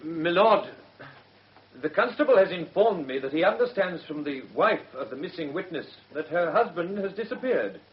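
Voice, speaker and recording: Man acting deferential British prosecuting barrister, formal measured questions, fairly high voice, muffled old radio recording. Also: lively intonation, close to an everyday voice. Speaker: actor.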